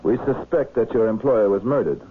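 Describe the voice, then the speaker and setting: An actor playing a cool, methodical male investigator with a measured, probing manner, in vintage radio drama style. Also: fairly low pitch, slightly rough. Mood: businesslike. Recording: phone-like, noisy vintage radio recording.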